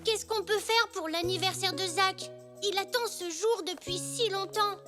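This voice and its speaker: Children's cartoon: young boy zebra, very high voice, upbeat, encouraging, full of initiative, music underneath. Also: a little slow. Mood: concerned. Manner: eager, encouraging. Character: cheerful, resourceful little boy zebra.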